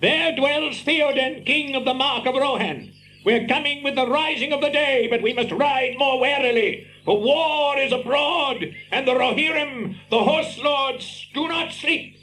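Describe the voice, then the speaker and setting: A man's voice: very high voice, measured pace, sharp tone, faint music behind, heavily acted, rough recording. An actor, a man, playing a solemn, wary guide who counsels fellow travellers in formal, declamatory audio drama style. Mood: grave caution.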